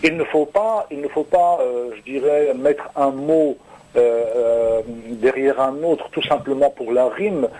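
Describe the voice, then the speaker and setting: An older man, a singer-songwriter whose first language is Kabyle, talking in a halting way with many repeated words in a radio interview. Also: mid-range voice, mellow, low-quality recording. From Normandy, France.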